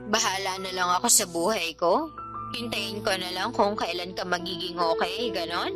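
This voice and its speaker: A woman's voice: mid-range voice, normal speed, music in the background, close to an everyday voice. A woman playing an exasperated, hurt young girlfriend in a radio love drama, sarcastic and reproachful. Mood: sulky, hurt.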